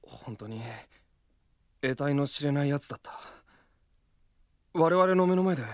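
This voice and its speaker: A man's voice: a little high, expressive, measured pace, dry recording, studio quality. A man playing a serious, tense young knight in a radio drama, speaking in a terse, dramatic way. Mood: baffled, grim.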